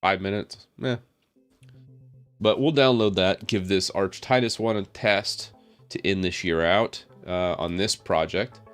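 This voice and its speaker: Deep-voiced man, slightly raspy. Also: unhurried, soft background music. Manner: relaxed, thinking aloud with many fillers and small laughs. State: slightly raspy from a head cold. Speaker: tech streamer. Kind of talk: casual live stream talk while working on screen.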